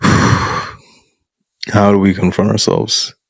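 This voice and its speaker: A young man with a fairly low voice talks bluntly and casually as a podcast guest, dropping "bro" into his points. He grew up in Canada. The sound is dry and close, with no room echo. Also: little pitch movement, very slow, gravelly, husky. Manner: frank, blunt, peppers speech with "bro". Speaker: guest masculinity coach.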